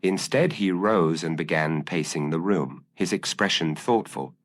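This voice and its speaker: A man narrating a scripted gothic mystery story in a measured, theatrical, formal storytelling manner. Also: sits low, no room sound. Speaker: audiobook narrator.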